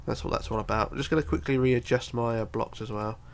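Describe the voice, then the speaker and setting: Man, congested, gravelly fry, mid-range pitch, casual tutorial style, methodical counting aloud. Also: fairly even intonation, fast talker. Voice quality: congested, bunged-up nose. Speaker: tutorial host. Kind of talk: casual step-by-step game building tutorial.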